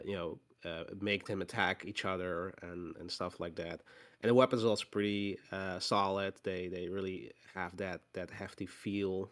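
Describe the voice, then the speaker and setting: Hoarse low-voiced man, halting chat. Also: a bit bright. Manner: halting, many fillers and restarts. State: hoarse from a cold. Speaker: podcast co-host. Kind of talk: casual chat.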